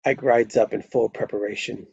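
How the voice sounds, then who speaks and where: A man's voice: fairly low pitch, fairly even intonation, moderate pace, vocal fry, darkish tone, a little hiss or hum. A man reading stage directions as narrator at a live screenplay table read, on a dull lo-fi microphone.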